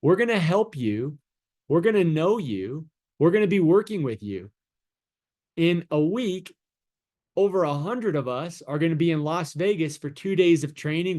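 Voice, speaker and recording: Man, host of a business call; fairly high voice, eager and hurried. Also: animated, darkish tone. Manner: upbeat, hurried, repeats words. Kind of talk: casual pitch on a video call. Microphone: video call.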